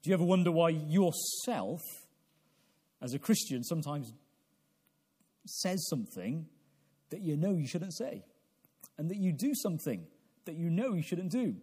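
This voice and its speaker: A man in his forties preaching a sermon in a deliberate, halting way, repeating phrases and posing questions to his listeners. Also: on the high side, animated, warm tone, slightly rough. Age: 40s. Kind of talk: evangelical sermon with prayer.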